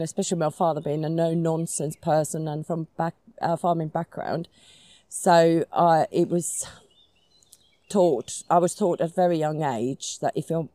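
A middle-aged Finnish-accented woman with a low, mellow voice talks in an easy, searching way, stopping on ums and restarting her sentences. The sound is close and dry, though she is out in a forest. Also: dry recording. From Finland. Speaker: guest, society chairman. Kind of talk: conversational interview. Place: outdoors in a forest.

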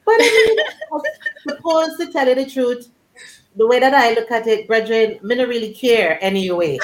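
A woman's voice: fairly high pitch, expressive, normal speed, reverberant, rough recording. A woman on an online panel, chatting casually with a playful, teasing manner and frequent laughter. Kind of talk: casual group chat online.

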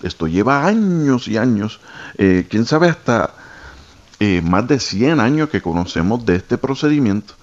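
A man's voice: mid-range voice, big pitch swings, a little slow, lo-fi sound. A man speaking as a guest doctor, explaining medical questions to listeners on a talk show.

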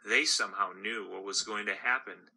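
A man's voice: normal speed, gravelly, sharp tone, slight background noise, exaggerated voice. A man voicing a naive young male gumball who whines and frets in a raised voice. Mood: dejected. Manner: high, whining complaints.

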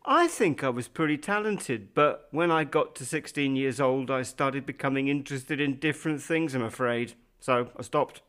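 A man reading scripted answers in an English lesson dialogue, relaxed and unhurried. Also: medium pitch.

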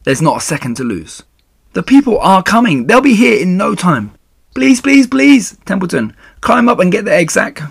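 A man's voice: medium pitch, sing-song, normal speed, fairly bright tone, breathy edge, no echo, clean studio sound. A man voicing a frantic, tearful young male pig in a children's story, loyal and pleading, with urgent, wailing delivery.

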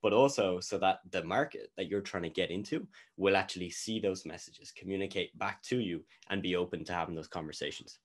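A man with a very low voice chatting casually as host of an interview. He talks in a loose, easygoing way, restarting phrases and leaning on fillers. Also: brisk, few pauses. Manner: relaxed, repeats words and fillers.

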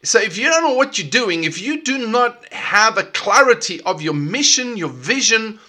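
A middle-aged man with a South African accent, hosting a solo leadership podcast in an energetic, emphatic way. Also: high-pitched, moderate pace. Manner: energetic and emphatic, with repetitive restarts. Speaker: podcast host.

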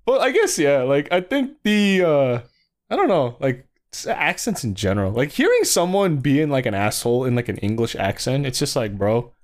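A man's voice: big pitch swings, moderate pace. A young man co-hosting a podcast, rambling through stories with lots of fillers in casual chat. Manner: rambling, many fillers, swings in pitch. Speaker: podcast co-host.

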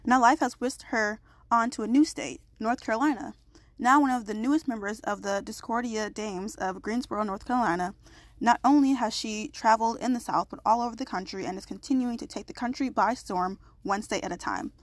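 Bubbly woman host, slightly high voice. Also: brisk, dry recording. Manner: bubbly, laughs often. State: slightly congested. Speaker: podcast host. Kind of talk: reading an introduction, then casual chat. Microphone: remote call.

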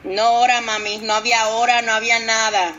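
A woman's voice: a little high, little pitch movement, quick pace, bright, forceful tone, highs cut off. A woman co-hosting a podcast, tossing in teasing quips in casual chat with friends. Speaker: podcast co-host.

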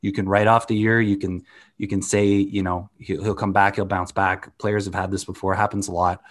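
A man's voice: low-pitched, level tone, moderate pace. A man co-hosting a casual sports chat, blunt and opinionated, swearing casually. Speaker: podcast co-host.

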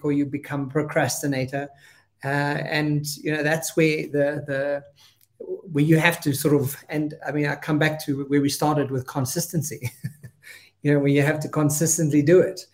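A male interview host with a fairly high voice. He rambles enthusiastically, filling his talk with "you know" and restarts. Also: darkish tone. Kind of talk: conversational interview.

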